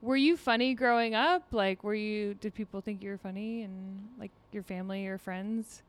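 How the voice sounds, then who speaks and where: A woman's voice: mid-range voice, fairly even intonation, normal speed, slight background noise. A woman hosting a podcast in a casual interview, who laughs easily and gives short encouraging replies. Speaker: podcast host.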